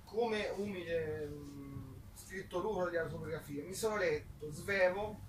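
A man's voice: fairly high pitch, measured pace. A man talking informally as an author at a live book event, hesitant and self-deprecating. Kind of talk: informal spontaneous live talk. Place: echoing room at a public book event. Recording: echoey room, distant mic, audience chatter.

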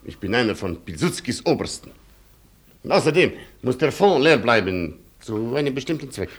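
Haughty colonel with swinging intonation. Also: male voice, medium pitch, very animated, normal speed, husky. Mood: imperious. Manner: pompous, condescending, clipped commands. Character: haughty aristocratic Polish colonel, curt and pompous. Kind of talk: radio play acting.

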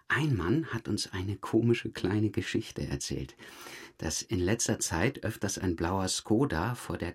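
A police detective in a crime radio play. The voice is low and rough around the edges. He questions people in a measured, understated way, dryly polite but persistent. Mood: matter-of-fact. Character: methodical male police detective, calm, dryly insistent. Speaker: police investigator. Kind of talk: German audio crime drama.

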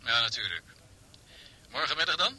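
A worldly journalist in a radio drama. His voice is very bright and sharp, with some huskiness. He talks informally, with a cautious, wary air. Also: mid-range voice, sing-song, normal speed, slightly rough, exaggerated voice, rough recording. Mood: wary, friendly. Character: cautious, worldly newspaperman advising a friend. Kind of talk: Dutch radio play acting.

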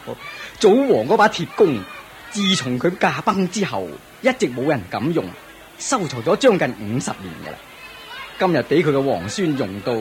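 A man's voice: on the high side, a little hiss or hum. An actor playing a proud, jovial king in a radio drama, exclaiming and chuckling expansively over music. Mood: regal, pleased. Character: proud, jovial king, excited by his prince's feats. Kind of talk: Cantonese radio drama acting. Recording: music under the voice.

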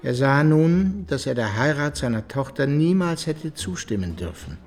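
A sympathetic male storyteller in a period radio play. He speaks solemnly at a medium pitch, with light music in the background. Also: very animated, normal speed, a little mellow. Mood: solemn, pitying. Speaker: narrator. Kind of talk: literary audio drama narration.

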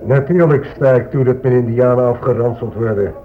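A man's voice: medium pitch, a little fast, lightly acted. Man as callous missionary, tinny radio sound. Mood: indignant self-justification. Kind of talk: radio drama acting. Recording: tinny phone-like old radio recording.

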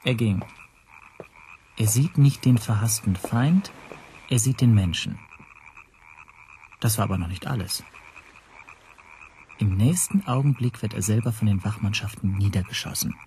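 An actor playing a reflective first-person narrator in a radio play, measured and introspective. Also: male voice, lowish voice, lively intonation, warm tone, slight background noise. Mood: musing. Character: reflective male narrator telling his own story.

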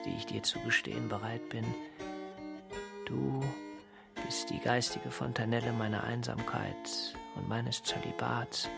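A man playing a brooding, solitary writer in a radio drama, speaking in theatrical literary monologue with a gravelly voice. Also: medium pitch. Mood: wry reproach. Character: Dürtal, brooding solitary bachelor writer absorbed in research. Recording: loud guitar music behind.

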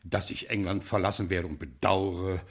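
An actor playing a reformed American gangster turned gentleman, giving grand, commanding orders in a 1950s German radio drama. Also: deep voice, steady tone, normal speed, husky, heavily acted. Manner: commanding, grandiose, brooks no objection. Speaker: castle owner giving orders.

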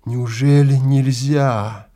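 A male narrator acts out a horrified male time traveler in an audio play. He is anguished and disbelieving, breaking his words into halting, desperate pleas. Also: medium pitch, steady tone, mellow. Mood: desperate pleading.

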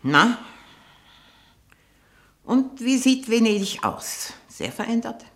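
Haughty old auntie in an old radio play, sharp tongue, pitch jumps all over. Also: female voice, big pitch swings, slow pace. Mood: brusque, probing. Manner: haughty, probing, disdainful. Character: imperious, sharp-tongued old aunt living on memories. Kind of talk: 1960 German radio drama acting.

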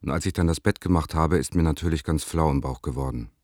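A man's voice: a bass voice, quick pace, darkish tone, no echo, studio quality. An actor playing a wistful lover who reads his letter to an absent girlfriend in a radio drama, tender, brooding and plain-spoken. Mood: melancholy, brooding. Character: Leon, wistful lover writing to departed girlfriend.

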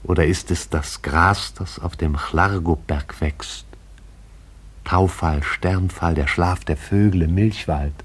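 A man narrating a poetic radio play in a husky, hushed, incantatory and intense way. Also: deep voice, slow pace.

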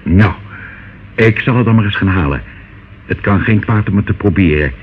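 A man acting a smooth, devious older uncle in a radio play. He speaks in a low voice, soothing and patronising, with glib reassurance. The recording is thin and phone-like with steady noise. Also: moderate pace, lightly acted. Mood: evasive, soothing.

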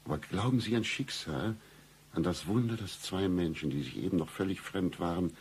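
Guy acting a broke seedy private eye, super low voice, flirty n deadpan, radio comedy, clear hum behind. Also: moderate pace, lightly acted. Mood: florid, seductive. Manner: florid, melodramatic seductive patter with deadpan humour. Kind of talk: satirical radio play dialogue.

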